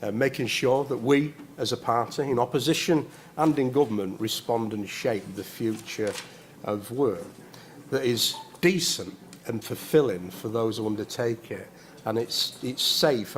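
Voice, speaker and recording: A man's voice: medium pitch, slight background noise. A man in his forties, a politician giving a prepared speech at a conference, earnest with hesitant restarts.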